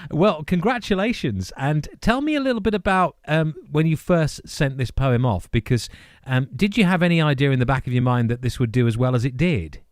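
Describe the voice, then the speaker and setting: A man's voice: fairly high pitch, fairly quick, dry recording, studio quality. A man hosting a radio interview in an enthusiastic, encouraging manner. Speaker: radio host. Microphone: close studio radio microphone.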